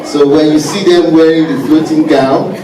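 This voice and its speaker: A man with a Nigerian accent explaining something to an audience in measured, halting phrases. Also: fairly high pitch, fairly even intonation. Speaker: cultural parade participant. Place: large indoor hall. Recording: echoey hall, distant mic, loud music and crowd.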